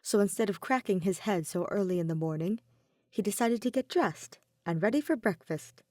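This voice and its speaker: A woman reading a fan fiction story aloud as an expressive narrator. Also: mid-range voice, normal speed, dry recording, studio quality. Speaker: podfic narrator.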